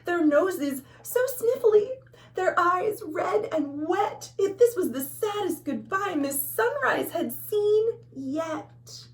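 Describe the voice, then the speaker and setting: High, very lilting American woman reading to children. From USA. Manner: expressive, sing-song reading with explanatory asides to children. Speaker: children's librarian and storytime host. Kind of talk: read-aloud picture book storytime for young children. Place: at home.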